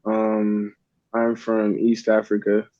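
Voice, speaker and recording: A man's voice: on the low side, completely flat delivery, takes it slowly, mellow, no echo. A young man from Uganda, a guest artist, talking in a laid-back, rambling way with many fillers. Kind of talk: casual interview chat. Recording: dull lo-fi dry sound.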